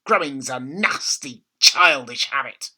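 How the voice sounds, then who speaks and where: A man voicing a nasty, bossy old grandmother from a children's storybook, scolding and snapping with drawn-out emphasis. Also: medium pitch, expressive, pushed and bright, breathy edge, heavily acted. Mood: scolding, snappish.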